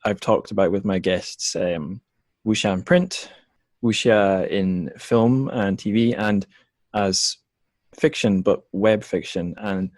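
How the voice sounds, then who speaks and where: A man's voice: lowish voice, slow pace, darkish tone, dry recording. A man from Scotland hosting a podcast in casual interview chat, circling his way to each point in hesitant phrasing. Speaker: podcast host.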